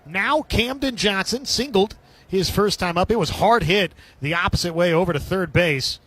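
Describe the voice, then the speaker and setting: Male sports radio commentator, high voice, live baseball play-by-play in short clipped phrases. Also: moderate pace, fairly bright tone.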